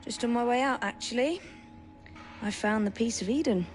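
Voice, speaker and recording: A woman's voice: a little high, moderate pace, slight background noise, close to an everyday voice. A woman playing a disciplined, serious young Victorian assassin in a video game, precise English accent. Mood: earnest. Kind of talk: dramatic video game acting. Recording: game music behind the voice.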